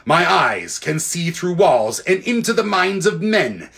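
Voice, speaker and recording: A man voicing an imperious, menacing witch queen in a storybook, with haughty, drawn-out commands. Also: high voice, sing-song, creaky, a bit bright, pitched above the performer's own voice, exaggerated voice. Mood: menacing, commanding.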